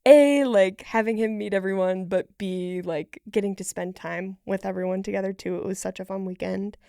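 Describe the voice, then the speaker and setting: A woman co-hosting a podcast, chatting casually in an informal, expressive way. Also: mid-range voice, moderate pace, creaky, clear, resonant tone, no echo, studio quality. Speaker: podcast co-host.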